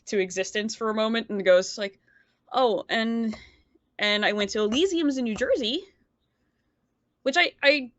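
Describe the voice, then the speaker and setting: A woman improvising narration for her character as a tabletop roleplay player, halting and searching for words. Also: medium pitch, a bit bright, no echo, lo-fi sound.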